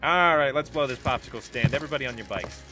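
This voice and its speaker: A man's voice: medium pitch, fast, music in the background, lightly acted. A man playing an easygoing, wry leader of a biker crew in a comedic audio drama. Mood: casual, rallying.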